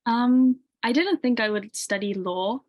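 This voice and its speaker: A woman's voice: on the high side, measured pace, highs cut off. A woman in her twenties, a university student, answering interview questions casually with lots of "like" and "um". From UK, grew up in Tanzania. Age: 20s. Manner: relaxed, many "like" and "um" fillers.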